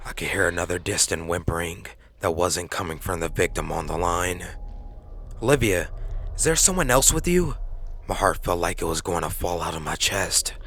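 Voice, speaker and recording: A man's voice: low voice, level tone, brisk, hardly any pauses, fairly bright tone. A man reading scripted first-person horror narration as a podcast narrator. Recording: faint background noise.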